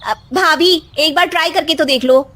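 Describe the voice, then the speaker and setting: Woman playing a high-voiced exasperated married daughter. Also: moderate pace, background noise, natural voice. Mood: urging.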